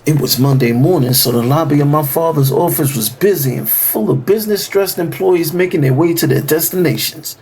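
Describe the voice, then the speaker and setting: Actor as a mellow, higher-voiced male storyteller. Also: a little fast, hardly any pauses, a little hiss or hum, natural voice. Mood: tense, reflective. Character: grown son narrating tense family troubles. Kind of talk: first-person dramatized story narration.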